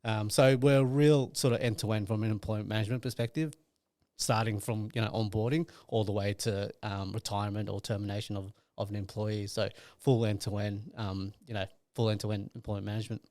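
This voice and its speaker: Man, lowish pitch, casual interview talk, conversational, dry recording. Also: level tone, brisk, darkish tone, no room sound. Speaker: company sales and partnerships head, podcast guest.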